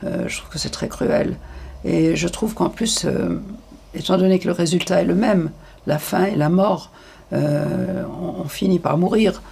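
An older woman giving frank, hesitant interview answers, with many pauses and fillers. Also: lowish voice, creaky, warm tone, husky.

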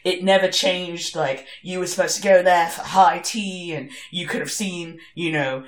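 A woman's voice: on the low side, steady tone, normal speed. A woman co-hosting a podcast, talking frankly about a book and its TV adaptation, laughing often. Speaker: podcast co-host. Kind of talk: casual book discussion chat. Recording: roomy echo.

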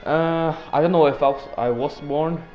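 A man's voice: a little high, normal speed, highs cut off, soft background music. A teenage boy as a podcast guest, giving laid-back, rambling joke answers full of fillers. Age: teens. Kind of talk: joking student podcast interview.

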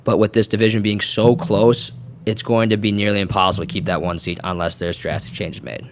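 A man co-hosting a sports talk radio show, giving measured, analytical takes on hockey. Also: lowish voice, flat delivery, slight background noise. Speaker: co-host.

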